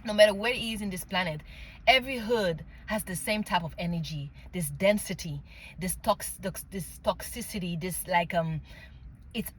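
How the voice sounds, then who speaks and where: A woman's voice: medium pitch, poor audio. French-accented woman talking over background noise. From France and Mali. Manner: emphatic, often checks in with "okay?" and "right?". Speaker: spiritual vlogger sharing personal story. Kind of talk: casual unscripted personal storytelling.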